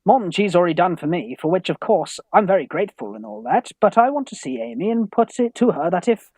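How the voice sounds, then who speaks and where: Man as lovelorn young English student in radio farce: timid, polite, high-pitched, very animated, hesitant. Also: fairly quick, dry recording. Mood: fretful, earnest. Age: young adult. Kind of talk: theatrical radio farce acting.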